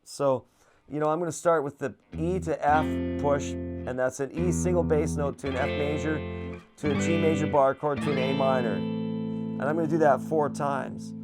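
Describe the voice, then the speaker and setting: A man with a low voice giving a casual guitar lesson, relaxed and chatty, with plenty of fillers. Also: normal speed. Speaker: guitar teacher.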